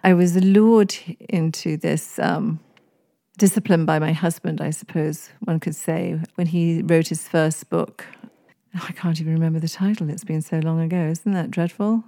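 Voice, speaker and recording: A woman from East Africa with an English accent talks as a guest on a podcast. Her voice sits low and warm. She chooses her words with care and phrases them formally. The recording is dry and close. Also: expressive, moderate pace, no echo. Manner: thoughtful, articulate, formal phrasing. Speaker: guest author. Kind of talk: podcast interview.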